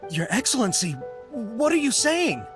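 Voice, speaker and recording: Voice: mid-range voice, big pitch swings, normal speed, over music, close to an everyday voice. An actor playing a humble, contrite soldier in a video game, speaking formally and deferentially to a superior. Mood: taken aback.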